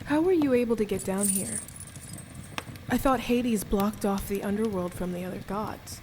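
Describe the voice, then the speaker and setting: A woman acting in a radio play as a captive young goddess, weary, desperate and quietly defiant, with halting emotional delivery. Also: medium pitch, background noise, natural voice. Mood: wary, weary.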